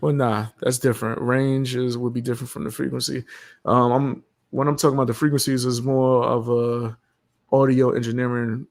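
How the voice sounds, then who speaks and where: A man's voice: mid-range voice, level tone, moderate pace, creaky. A man in casual chat about home recording, laid-back and slangy, swearing freely. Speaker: streamer.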